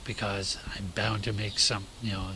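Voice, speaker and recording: A man's voice: fairly low pitch, level tone, moderate pace. A man hosting a radio show and interview in a casual, goofy, rambling way. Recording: roomy, far from mic, noisy.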